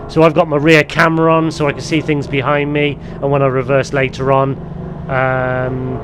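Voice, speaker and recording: A man's voice: mid-range voice, monotone, normal speed, background noise. A man hosting a casual gameplay tutorial, explaining things in a rambling way. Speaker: gaming video host.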